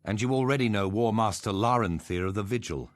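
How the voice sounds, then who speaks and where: An actor playing a solemn, scholarly plant-folk marshal in a video game cutscene, speaking in an earnest, formal, measured way. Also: male voice, on the low side, no echo, studio quality, natural voice. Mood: resolute, formal. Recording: voice over loud game music.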